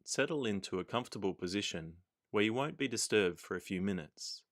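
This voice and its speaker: A man narrating a guided meditation as the guide, in a calm, soothing, measured manner with gentle instructions. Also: fairly low pitch.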